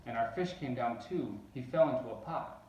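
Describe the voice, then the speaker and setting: Man, children's rhyming story, expressive, rhythmic, lively intonation, echoey distant recording. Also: medium pitch, moderate pace, slight background noise. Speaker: storybook reader. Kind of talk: animated read-aloud of a rhyming children's book. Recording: roomy echo, distant microphone.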